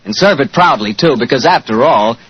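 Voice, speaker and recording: An American man working as announcer and pitchman in 1940s radio style, with a folksy, salesman-like manner. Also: lively intonation, a little fast, husky. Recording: muffled 1940s radio broadcast recording.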